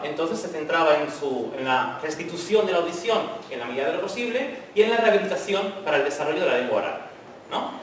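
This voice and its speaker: A man gives an informal conference lecture, often checking in with the audience with tag questions. His voice sits a little high. He is recorded from a distance in a very echoey hall, with faint room noise behind him. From Spain. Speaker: conference speaker. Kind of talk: university lecture talk.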